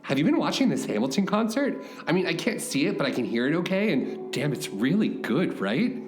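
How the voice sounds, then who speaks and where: A woman plays a naive, chatty young captive in a comedic radio play. The voice is low for a woman and swings excitedly up and down, in an echoey space over clear music. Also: fairly quick. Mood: excited, amazed. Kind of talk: comedic audio drama acting. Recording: echoey, loud music underneath.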